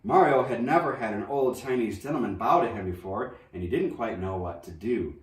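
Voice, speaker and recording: Animated man reading aloud in an echoey room. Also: fairly low pitch, fairly quick. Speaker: reader of a children's novel. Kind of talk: expressive storybook narration. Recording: roomy echo, distant microphone.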